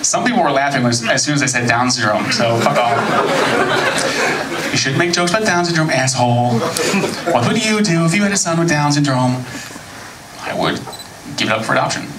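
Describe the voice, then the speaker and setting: An American man in his thirties performing a stand-up comedy set on a club stage, with a dry, deadpan delivery. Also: medium pitch, brisk, long pauses, over a noisy crowd. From United States. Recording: echoey club recording from far off. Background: audience laughter.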